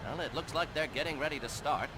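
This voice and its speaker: An actor playing a brisk, upbeat TV sports anchor giving live event commentary in a video game. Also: male voice, fairly high pitch, close to an everyday voice. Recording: noisy broadcast from an arena.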